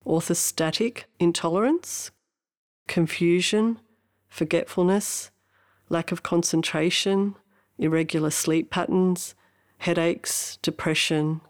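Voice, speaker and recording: A woman's voice: fairly low pitch, a little mellow, no echo, clean studio sound. A woman reading scripted narration in a plain, instructive manner.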